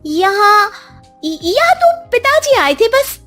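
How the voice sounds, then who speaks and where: A woman voicing a spiteful, scheming young daughter-in-law in a cartoon story, with a shrill, scornful manner. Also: very animated, slow pace, fairly bright tone, faint music behind, heavily acted. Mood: shrill alarm.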